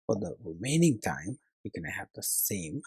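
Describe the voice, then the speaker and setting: A man's voice: fairly low pitch, very animated, moderate pace, gravelly, darkish tone, breathy edge, no room sound. A man narrating a step-by-step coding tutorial as its instructor, hesitantly thinking aloud as he works.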